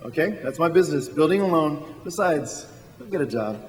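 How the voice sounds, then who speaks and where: A high-voiced, earnest everyman played by an actor stumbles over and repeats his words. He is warm-hearted and anxious in radio drama style, heard in a hall with long reverb. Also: expressive, fairly quick, a little hiss or hum, close to an everyday voice. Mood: earnest, kindly. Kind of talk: stage radio drama acting. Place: large church hall.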